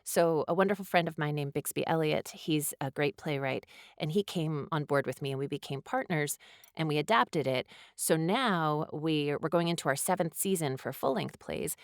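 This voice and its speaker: Casting: female playwright in a casual interview, very low-pitched voice, dry recording. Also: normal speed, dry recording.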